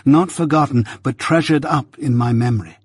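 A man voicing a proud, self-possessed young adopted daughter in a novel reading, speaking with composed, measured, unyielding delivery. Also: mid-range voice, husky, dry recording, studio quality, voice pushed up, lightly acted. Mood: proud, insistent.

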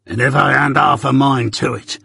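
A man voicing a fearsome escaped convict, gruff and threatening, in a husky, dry-sounding read. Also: mid-range voice, fairly even intonation, moderate pace, sharp tone, dry recording, heavily acted. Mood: menacing. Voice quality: growling, gruff. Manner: menacing, barking orders.

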